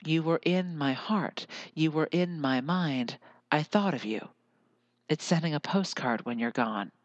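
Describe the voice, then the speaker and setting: An earnest American podcaster muses alone into the mic and slips into lyrical, repeating phrases. The voice sits at a middle pitch, and the recording is very dry, with no room sound. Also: unhurried, no room sound, lo-fi sound. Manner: earnest, musing, drifts into lyrical repetition. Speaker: podcast host. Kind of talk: reflective solo podcast monologue.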